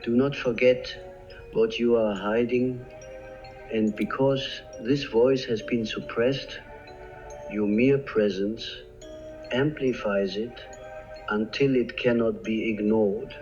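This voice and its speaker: A man giving slow, solemn scripted narration with measured pauses. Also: medium pitch, fairly even intonation, darkish tone. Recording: dull lo-fi with faint music. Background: faint background music.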